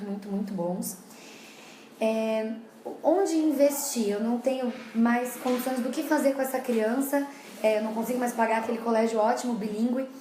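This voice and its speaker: A woman with a Brazilian Portuguese accent, a child development educator, talks parents through her points in a plain, explanatory way and circles back to repeat things. Her voice sits a little high. The sound carries the echo of a hard-walled room. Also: darkish tone, a little hiss or hum. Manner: explanatory, repeats herself often. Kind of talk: casual talk to parents. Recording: echoey room.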